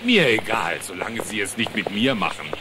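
Lively, wisecracking cartoon bear. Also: male voice, on the low side, normal speed, noisy background. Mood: wry. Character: wisecracking, easygoing male cartoon bear pilot. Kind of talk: cartoon radio play acting in German.

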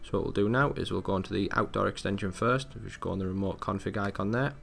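A man giving an informal, matter-of-fact step-by-step software tutorial as a technical support presenter. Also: fairly low pitch, quick pace, vocal fry.

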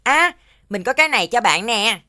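A woman voicing a gentle magical child friend in an animated series, speaking in a cute, measured way. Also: fairly high pitch. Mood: cheerful.

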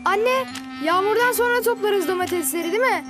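A woman's voice: very high-pitched, fairly quick, music in the background. A woman voicing a cheerful young boy, a teasing big brother in a children's cartoon. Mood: amused. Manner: boyish, teasing.

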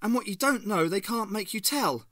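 A man's voice: high voice, animated, normal speed, pushed and bright, dry recording, clean studio sound, voice pushed up, heavily acted. A man putting on a tough, defiant young woman. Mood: urgent. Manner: urgent, persuasive.

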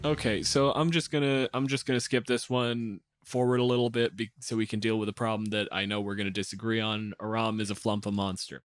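A man's voice: fairly low pitch, steady tone, brisk, few pauses. A man hosting a casual podcast in a sardonic, grumbling, exasperated manner. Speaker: podcast host.

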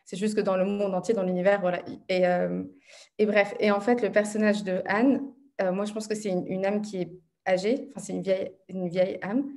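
Young woman in her 20s on a video call, pretty even tone, chatty with quick asides. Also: mid-range voice. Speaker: student interviewer. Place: home room at night. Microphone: video call laptop mic.

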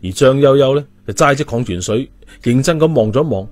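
A man telling a story as an expressive audiobook narrator. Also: medium pitch, fairly quick. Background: music.

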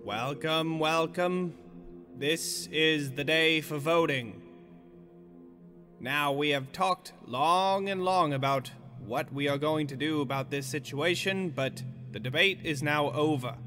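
An actor playing a firm, authoritative town leader addressing an assembly in formal, declamatory audio drama style. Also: male voice, fairly high pitch, slow, pushed and bright. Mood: solemn. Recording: long hall reverb, music underneath.

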